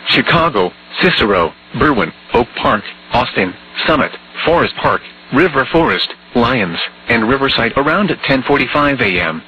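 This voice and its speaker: A man's voice reading an automated weather emergency alert in a flat, even, computer-like delivery. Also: medium pitch, normal speed, hardly any pauses. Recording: dull lo-fi broadcast with faint hum.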